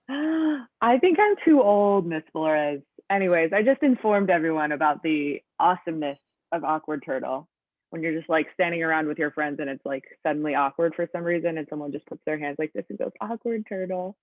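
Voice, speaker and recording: A woman's voice: sits low, normal speed, no echo. A woman in her late twenties with a Californian accent runs an online class, chatty and upbeat, full of ums and likes. Her voice comes through a thin, narrow video call line. The sound is dry and close. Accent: Californian English. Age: late 20s. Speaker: teacher. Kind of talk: online class, casual teaching. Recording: thin phone-like audio over a video call.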